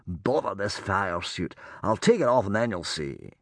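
A man's voice: on the low side, very animated, moderate pace, dry recording. A man voicing a self-important middle-aged Viking hero, breezy, protesting and easily exasperated, in a storybook.

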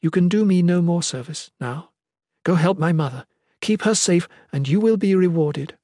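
A woman's voice: on the low side, expressive, normal speed, warm tone, husky, no echo, studio quality, voice pushed up. A man voicing a grieving, resolute mother of noble birth in an audiobook story, speaking solemnly and earnestly. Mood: despairing.

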